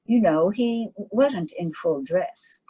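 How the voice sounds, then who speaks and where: A woman voicing a jovial, teasing young gentleman painter in a read-aloud story, in her own breathy voice. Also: mid-range voice, expressive, slow. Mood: explaining.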